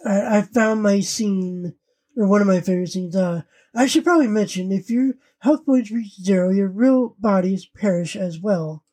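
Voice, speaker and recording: A woman's voice: medium pitch, normal speed, warm tone. A woman co-hosting a podcast, chatting casually and acting out bits she recalls. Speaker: podcast co-host. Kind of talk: casual chat about an anime parody.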